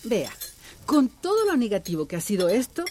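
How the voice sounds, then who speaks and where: An actress playing a calm, reassuring, neighbourly village activist in a radio drama. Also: female voice, a little high, normal speed, slight background noise. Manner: neighbourly, expressive.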